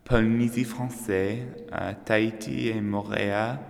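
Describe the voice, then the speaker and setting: A man in his twenties from Los Angeles, speaking slowly and haltingly in an interview. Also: lowish voice, steady tone, creaky, faint music behind. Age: 20s. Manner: slow, halting, listing places. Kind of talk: casual interview. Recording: echoey room with long reverb.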